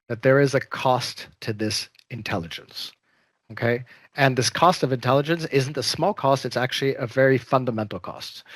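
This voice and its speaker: A man moderating a panel discussion, opening with remarks in a measured way and many hesitations. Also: medium pitch, no room sound. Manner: measured, with frequent um fillers.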